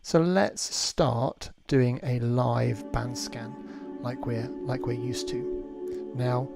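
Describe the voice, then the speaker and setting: A man's voice: medium pitch, steady tone, extremely slow, gravelly. A man hosting a casual live demonstration, talking unscripted and thinking aloud, often repeating his phrases. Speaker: livestream host and hobbyist developer. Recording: loud music under the voice. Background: ambient music.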